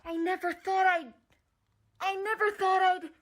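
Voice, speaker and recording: A woman's voice: extremely high pitch, steady tone, unhurried, a little hiss or hum. A woman playing an earnest, emotional young space lieutenant in a radio drama. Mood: overwhelmed, joyful.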